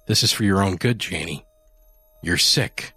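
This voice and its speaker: A man playing a calm, cold husband who speaks quietly and firmly. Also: low voice, measured pace, breathy edge, dry recording, faint music behind, heavily acted. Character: calm, cold husband set on harming a cat.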